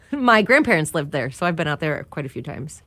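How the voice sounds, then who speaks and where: Woman, low voice, radio studio co-host, upbeat, laughs a lot, reads listener messages. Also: bright, forceful tone, breathy edge. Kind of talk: casual morning radio banter.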